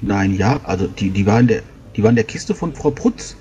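A man's voice: on the low side, expressive, fairly quick, warm tone, slight background noise. A man role-playing casually in a tabletop game, chatty, repeating words as he switches between character speech and brief narration.

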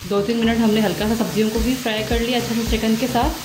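A woman hosting a cooking channel, calmly talking through a recipe step by step in a voice-over. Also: on the high side, fast talker, roomy sound. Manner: steady step-by-step instructing. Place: home kitchen.

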